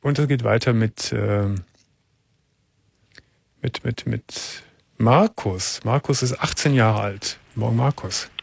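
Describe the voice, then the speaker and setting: Calm male radio host, dry studio sound. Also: medium pitch, slow pace, gravelly, pauses often, slightly rough, no echo. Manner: calm, probing questions with frequent soft "mhm"s. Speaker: radio talk show host. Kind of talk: late-night call-in talk.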